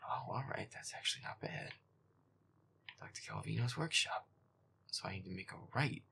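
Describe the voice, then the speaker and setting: Guy acting as feisty boyfriend gaming, swears under his breath, kinda creaky and whispery, pitch jumps around. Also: expressive, moderate pace. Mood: grumbling. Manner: sweary, exasperated muttering. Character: feisty, foul-mouthed boyfriend grumbling through a scary game. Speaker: audio roleplay performer. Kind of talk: casual gaming commentary in boyfriend audio roleplay.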